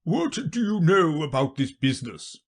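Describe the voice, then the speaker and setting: A narrator voicing a pompous, muddle-headed old king, loud and emphatic, in a storybook reading. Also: medium pitch, big pitch swings, moderate pace, pitched above the performer's own voice. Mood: muddled, self-important. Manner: loud, emphatic, self-important.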